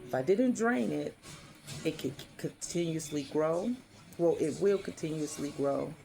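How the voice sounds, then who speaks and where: Woman with a deep, raspy, hoarse voice. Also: lively intonation, measured pace, warm tone, faint music behind. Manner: rambling, frequent fillers and restarts. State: hoarse while healing. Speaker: vlogger. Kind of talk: casual storytelling to camera.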